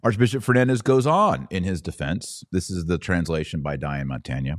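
A man's voice: deep voice, lively intonation, normal speed, no echo, studio quality. A man hosting a podcast of opinionated commentary, with a mocking, sarcastic and emphatic delivery. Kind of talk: opinionated live commentary, reading aloud and reacting.

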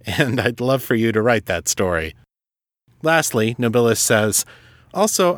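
A man hosting a solo podcast, reading and answering listener letters in an articulate, measured, explanatory way. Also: medium pitch, a bit bright, no room sound, studio quality. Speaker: podcast host and novelist.

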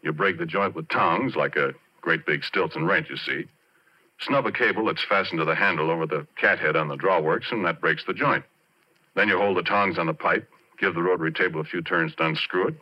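An actor in a 1940s radio drama playing an easygoing, rough-and-ready oil driller who talks in folksy, colloquial style. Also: male voice, low-pitched, a little fast. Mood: confiding narration. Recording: muffled 1940s radio recording.

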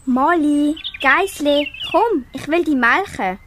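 A woman's voice: high-pitched, expressive, takes it slowly, a bit bright, exaggerated voice. A young girl with a Swiss German accent playing a farm woman fond of her animals in an amateur school radio play. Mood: calling. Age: child or young teen. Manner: fond, chatty. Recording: clear background noise.

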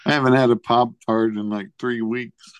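A man's voice: mid-range voice, fairly even intonation, normal speed, clean tone, dry recording, poor audio. A man co-hosting a podcast, telling anecdotes in casual, offhand chat. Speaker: podcast co-host.

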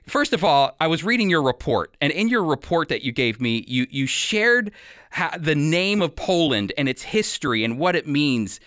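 A man's voice: on the high side, very animated, moderate pace, a bit bright, dry recording, studio quality. An American man hosting and interviewing on a radio program, speaking as a presenter. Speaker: radio show host.

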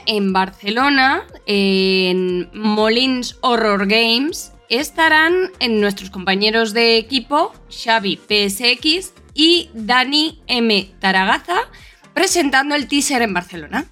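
A woman's voice: on the high side, lively intonation, takes it slowly, sharp tone, faint music behind. A woman co-hosting a podcast, giving announcements in a casual, conversational way. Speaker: podcast co-host.

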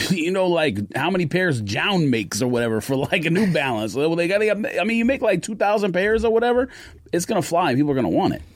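A man's voice: a little high, very animated, quick pace, dry recording, studio quality. An American man co-hosting a podcast, explaining his opinions in casual conversation. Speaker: podcast co-host. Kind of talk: casual sneaker podcast chat.

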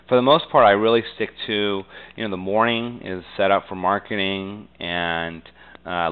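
American man, low pitch, clean resonant tone, conversational, earnest, halting phrasing, interview guest. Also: bright, forceful tone, clear, resonant tone, rough recording. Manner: earnest, halting, peppered with "you know". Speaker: interview guest, business owner.

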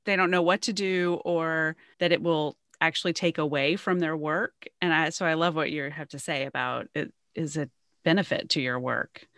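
A woman speaks casually as a podcast co-host. Her voice sits a bit lower than average for a woman. It is recorded dry, with hardly any room around it. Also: fairly bright tone, clean tone, dry recording. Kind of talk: casual chat.

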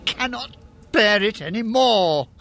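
An actor playing an anguished old miser, desperate and pleading, in a radio drama. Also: male voice, high-pitched, expressive, slow, fairly bright tone, exaggerated voice.

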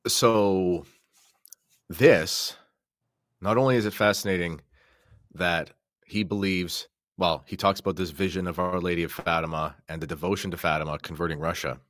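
A man with a low voice gives podcast commentary in a dry, close-sounding recording. He talks conversationally and emphatically, turning to his listeners and co-host. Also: fairly even intonation, moderate pace, a bit bright, no echo.

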